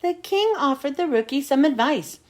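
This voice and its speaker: Woman, high voice, very lively intonation, children's read-aloud narration. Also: normal speed. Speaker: storybook reader.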